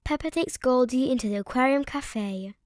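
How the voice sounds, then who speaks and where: A woman's voice: high-pitched, normal speed, very noisy. A woman narrating a children's picture book as a read-aloud. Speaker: storybook narrator. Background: music behind the voice.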